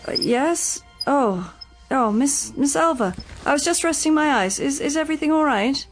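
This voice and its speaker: Domestic caretaker, higher-pitched, patient and placating but firm, radio drama, music underneath. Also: female voice, lively intonation, moderate pace. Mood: drowsy, flustered. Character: patient, practical female caretaker soothing her mistress.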